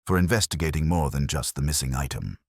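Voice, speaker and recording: A man's voice: a bass voice, quick pace, breathy edge, no echo, clean studio sound, heavily acted. A man voicing a composed, wistful storybook woman in a polite, reflective, understated way. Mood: composed, grateful. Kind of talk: storybook character dialogue.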